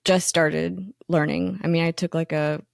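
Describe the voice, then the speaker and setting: Low, gravelly woman chatting eagerly. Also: fairly even intonation, measured pace, dry recording, clean studio sound. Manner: chatty and enthusiastic, many ums and restarts. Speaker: magazine editor, co-host. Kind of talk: casual podcast conversation.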